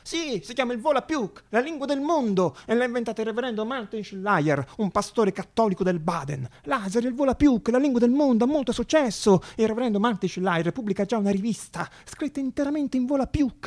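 An actor playing an excitable man in a radio monologue, insistently chanting news over and over. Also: very high voice. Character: excitable male messenger, obsessively repeating grand news.

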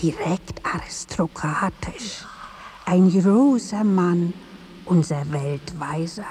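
An actress playing a reverent but gossipy townswoman in a radio drama, speaking in a hushed half-whisper. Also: fairly low pitch, slow, a little hiss or hum. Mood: hushed reverence.

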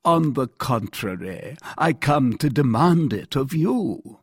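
A man voicing a calm, courteous, shrewd musketeer captain in an audiobook, measured and quietly firm. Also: medium pitch, husky, no room sound, studio quality, voice pushed up. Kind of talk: dramatised audiobook character voice.

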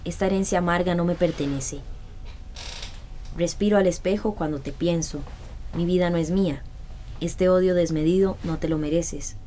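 An actress playing a shy, guarded young poet in a radio drama, with a Costa Rican accent and a hesitant, sincere delivery. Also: female voice, lowish voice, fairly even intonation, normal speed, long pauses, dark tone, slight background noise, lightly acted. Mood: solemn, intimate. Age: young adult. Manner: hesitant, self-deprecating.

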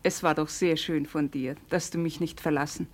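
Casting: actress playing devoted country wife, low voice, gentle and sober, slightly noisy radio play. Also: female voice, fairly even intonation, normal speed, close to an everyday voice. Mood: tender, practical. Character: gentle, devoted village wife and grown woman. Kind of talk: German radio drama acting. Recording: noisy old radio recording.

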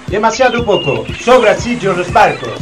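High-pitched, callous slave ship captain. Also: male voice, sing-song, normal speed. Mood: cold, commanding. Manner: commanding, cold. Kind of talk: community radio drama acting. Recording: somewhat distant mic, music underneath.

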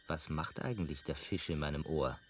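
Very deep-voiced, bewildered everyman. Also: moderate pace, a little hiss or hum. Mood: puzzled. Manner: muted, puzzled, dry. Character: bewildered, dryly sarcastic ordinary man among aliens. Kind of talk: radio drama acting.

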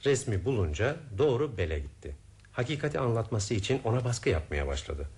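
An actor playing a composed, methodical detective in a Turkish radio play, explaining and questioning in a measured way. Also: male voice, medium pitch, very animated, darkish tone. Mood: explaining gravely. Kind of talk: Turkish radio theatre acting.

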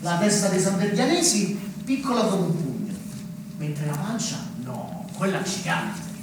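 A man's voice: high voice, a little slow. Sardonic small-town guy, echoey and distant. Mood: mocking. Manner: animated, sardonic, repetitive storytelling. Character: bitter, sarcastic small-town man running a farm guesthouse. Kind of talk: naturalistic stage acting in Italian theatre. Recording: very echoey, distant, noisy stage recording.

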